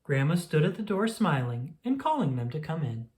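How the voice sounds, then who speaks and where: A storyteller reading a children's picture book aloud in a slow, gentle manner. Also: medium pitch, big pitch swings.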